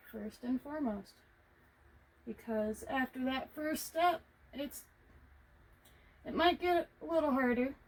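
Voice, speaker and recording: A woman vlogger talking casually to herself as she paints, reflective, halting and encouraging, with a naturally quiet voice that she tries to project. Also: fairly high pitch, poor audio. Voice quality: normally quiet voice, making an effort to project. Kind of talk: casual self-talk while painting. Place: hot room indoors.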